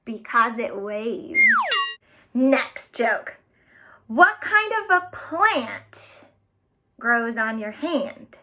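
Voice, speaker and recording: A woman hosting a storytime for children, speaking in an animated way and addressing them directly with simple instructions and phrases. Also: on the high side, drawn-out words, slight background noise. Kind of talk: children's storytime with sing-along chants and play instructions.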